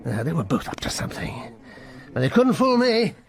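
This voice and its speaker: A man's voice: on the high side, sing-song, normal speed. An actor playing a jittery, hard-drinking upper-class English military man in a radio drama, with a blustering, stammering manner. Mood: tipsy suspicion. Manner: blustering, stammering, slurred old-fashioned slang.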